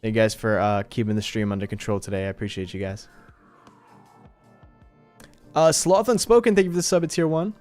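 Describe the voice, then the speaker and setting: A man's voice: lowish voice, lively intonation, fairly quick, pauses often, darkish tone, soft background music. A man hosting a livestream, chatting casually and informally in slangy, appreciative asides to his viewers. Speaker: live streamer and host.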